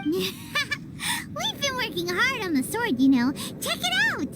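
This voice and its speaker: A woman voicing a tiny childlike fairy companion in an anime-style game, squeaky, cheeky and exclamatory. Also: huge pitch swings, takes it slowly, very noisy, full character voice. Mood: excited, amazed. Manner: exclamatory, cutely indignant, refers to self in third person.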